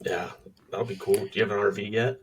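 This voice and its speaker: A man's voice: on the low side, steady tone, fairly quick, a little hiss or hum. A man in his forties co-hosting a podcast, talking in an easygoing, laid-back way about his skydiving days. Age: 40s. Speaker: podcast co-host, skydiving instructor. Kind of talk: casual chat. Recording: voice from far off the mic.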